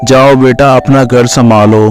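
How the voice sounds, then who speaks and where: Man playing a respectful family elder, medium-pitched. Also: fairly even intonation, unhurried, soft background music, lightly acted. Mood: reassuring. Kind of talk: cartoon story dialogue.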